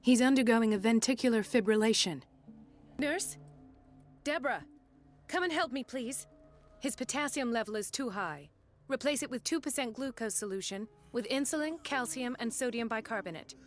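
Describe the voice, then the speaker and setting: An actress playing a composed, caring and determined hospital doctor in a video game, with a measured clinical delivery. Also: female voice, on the high side, lively intonation, faint music behind, natural voice. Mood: urgent, commanding. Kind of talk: video game cutscene acting.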